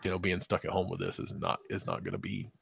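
A man with a low, gravelly voice talks casually, rambling with restarts and agreeing often. The recording is dry and close. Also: sing-song, quick pace, fairly bright tone, no room sound. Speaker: podcast co-host. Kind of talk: casual chat.

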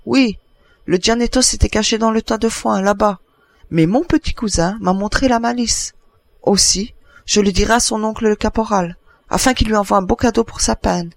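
A brisk, satisfied army officer, acted by a male narrator in a literary tale. He talks freely, with the voice raised high and a creaky edge. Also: no echo. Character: brisk, satisfied soldier, an adjutant reporting a capture.

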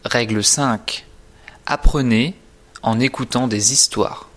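Guy teaching, really slow and careful, medium pitch, scripted lesson. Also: fairly bright tone. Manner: deliberate, carefully articulated, didactic. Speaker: French teacher presenting own course. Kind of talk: scripted instructional narration.

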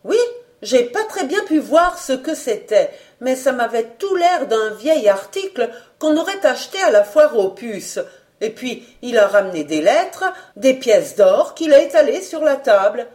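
A woman voicing an excitable, gossipy older housekeeper who talks in a rambling, colloquial way. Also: fairly high pitch, measured pace, voice pushed up. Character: chatty older female housekeeper, garrulous and excitable.

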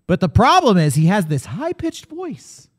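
A man's voice: high-pitched, wildly swooping pitch, normal speed, husky. A man co-hosting a casual movie podcast, rambling and interrupting himself in a playful way. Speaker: podcast co-host.

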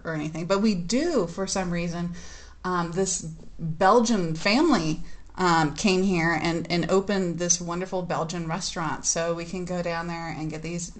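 A woman hosting a podcast interview, chatty and laughing often, with many fillers. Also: on the low side. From United States. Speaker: podcast host. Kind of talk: casual interview chat.

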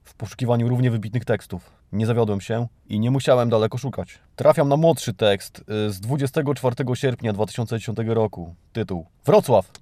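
Mellow man with mock-grandiose irony. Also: medium pitch, brisk, hardly any pauses, no room sound, studio quality. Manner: ironic, mock-grandiose, theatrical delivery. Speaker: podcast host. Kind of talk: satirical scripted monologue reading and mocking news items.

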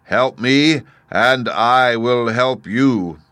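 A great, stern wizard played by a man speaks as a giant talking head from a storybook. The voice is loud and raised in pitch, with slow, weighty commands. Each phrase lands with emphatic authority, in a very dry recording. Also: clear, resonant tone, dry recording. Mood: imperious. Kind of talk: storybook character voice.

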